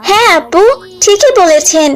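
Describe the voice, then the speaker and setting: A woman's voice: very high-pitched, animated, moderate pace. A woman voicing a spoiled, boastful rich young girl with a bragging, dismissive manner in a children's moral cartoon. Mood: smug.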